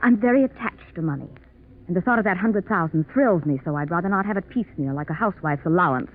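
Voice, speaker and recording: A woman's voice: lowish voice, quick pace, natural voice. A cool, greedy femme fatale played by a woman in a 1940s radio mystery. She is blithely mocking and calculating. The old recording is very narrow and muffled, with some hiss.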